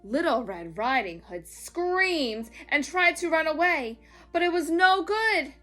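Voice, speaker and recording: A woman narrates a children's story in a fairly high, bright voice. Her reading is expressive and emphatic, with soft music behind it. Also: takes it slowly. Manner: dramatic, emphatic.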